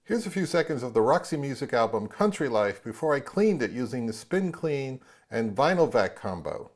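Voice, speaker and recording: A man narrating a casual product review in a measured, wry and mildly critical manner. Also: mid-range voice, very animated.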